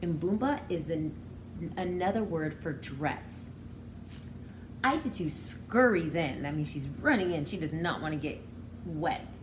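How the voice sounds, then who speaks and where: A woman's voice: deep voice, expressive, unhurried. A woman in her thirties reading a picture book aloud as a teacher, expressively and with explanations for young children. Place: small room indoors. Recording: roomy indoor echo, faint background noise.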